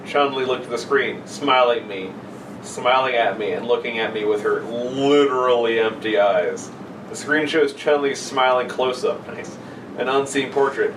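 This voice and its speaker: A man's voice: mid-range voice, normal speed. A man joking crudely and sarcastically as he chats and reads out an internet horror story on a podcast. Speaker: podcast co-host. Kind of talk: casual joking chat and mock reading of an internet horror story. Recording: roomy, noisy, distant microphone.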